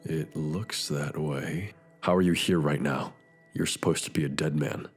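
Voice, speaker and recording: An actor playing a brooding, philosophical detective in a scripted future noir drama, speaking in measured, formal phrases. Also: male voice, a bass voice, fairly even intonation. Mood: guarded, probing. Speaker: detective character and narrator. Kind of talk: scripted noir drama dialogue and reflective monologue. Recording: long reverb, music behind.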